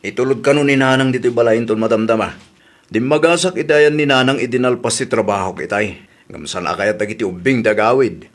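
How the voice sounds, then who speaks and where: A man plays a grumbling married man of the house in a comedy audio drama. He speaks in a middling pitch with an Ilocano accent. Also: a little fast, natural voice.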